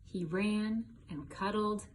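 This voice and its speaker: A woman's voice: mid-range voice, takes it slowly, a little hiss or hum. A woman reading a children's picture book aloud in a storytelling style. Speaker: storybook reader.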